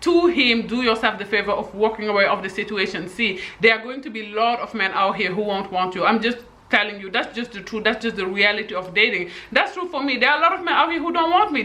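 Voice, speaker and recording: A woman in her thirties with a husky voice and a delivery, giving emphatic dating advice straight to camera and ending her points with "okay?". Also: a little high, sharp tone. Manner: emphatic, repeats "okay?" to drive points home. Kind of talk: direct-to-camera advice monologue.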